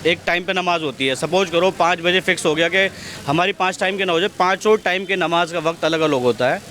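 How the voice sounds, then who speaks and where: A man's voice: high-pitched, fairly quick, bright, forceful tone. A man giving his opinion in a street interview in an emphatic, argumentative way, often repeating his phrases. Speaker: member of the public being interviewed. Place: outdoors on a city street. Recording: outdoor street with traffic noise. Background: faint street and vehicle noise.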